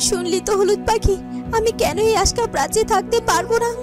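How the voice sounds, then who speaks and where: A woman's voice: very high-pitched, fairly quick. A woman voicing a troubled, devoted young heroine with an earnest, pleading delivery in a melodramatic children's cartoon. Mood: anguished. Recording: music under the voice.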